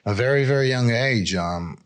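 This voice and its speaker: A man from Israel telling his own story candidly as an interview guest, halting and reflective, often adding "you know". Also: mid-range voice, animated. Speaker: addiction recovery coach, interview guest.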